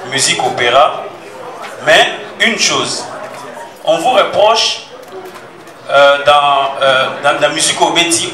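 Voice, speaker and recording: A man asking questions as a journalist at a press conference, pausing to explain his terms. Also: fairly high pitch. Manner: explains terms, mixes French and Lingala. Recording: echoey room, distant mic, crowd noise.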